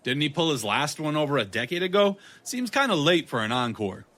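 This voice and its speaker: An actor playing a guarded, sardonic ex-Marine detective in an audio drama, laconic and quick with retorts. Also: male voice, on the high side, big pitch swings, fairly bright tone. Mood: defensive.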